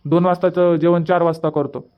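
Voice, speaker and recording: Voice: mid-range voice, little pitch movement, brisk, lo-fi sound, close to an everyday voice. A performer voicing a polite, busy young village man in a Marathi cartoon comedy, with a Varhadi accent and a respectful, coaxing manner. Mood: earnest. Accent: Varhadi Marathi from Vidarbha.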